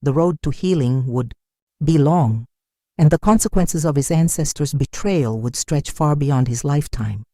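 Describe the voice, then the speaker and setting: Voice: mid-range voice, normal speed, warm tone, dry recording, clean studio sound. A narrator delivering a dramatic scripted folktale in a solemn, suspenseful manner.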